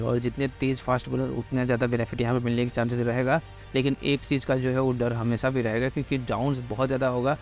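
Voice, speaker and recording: A man giving a quick, casual cricket pitch analysis, talking straight to viewers with lots of filler phrases. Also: a little mellow. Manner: rapid, heavy filler phrases, addresses viewers directly. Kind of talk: fast casual explainer over slides.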